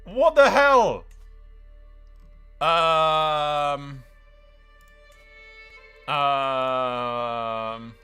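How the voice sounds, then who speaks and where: A male gaming host with a bright, forceful voice and very lively pitch. He gives sweary, excitable play-by-play, jumping from dramatic outbursts to dry understatement, with music playing behind him. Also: smooth. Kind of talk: excitable let's-play commentary.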